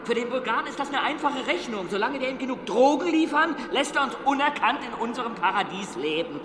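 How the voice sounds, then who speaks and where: Exasperated, high-voiced commune gatekeeper. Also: male voice, lively intonation, fast talker. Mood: exasperated, insistent. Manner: lecturing, argumentative. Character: insistent, exasperated male gatekeeper of a secluded commune. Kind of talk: radio drama acting. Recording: echoey hall with noise behind.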